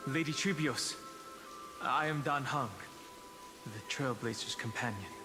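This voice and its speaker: A man playing a stoic, reserved young warrior in a video game, speaking in a serious, measured, terse way. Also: medium pitch, music in the background, natural voice. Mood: firm, serious. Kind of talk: scripted video game drama.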